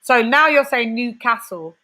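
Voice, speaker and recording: A woman's voice: fairly high pitch, expressive, a little slow, bright, forceful tone. A woman with a London accent, hosting a casual livestream chat and reading out viewers' comments. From West London. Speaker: livestream host.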